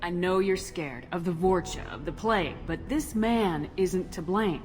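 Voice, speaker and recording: Actress as a firm space commander, reverberant. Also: female voice, lowish voice, measured pace, soft background music, natural voice. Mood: firm, persuasive. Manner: calm, firm, persuasive. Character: firm, reasoning female space soldier commander. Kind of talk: dramatic video game acting.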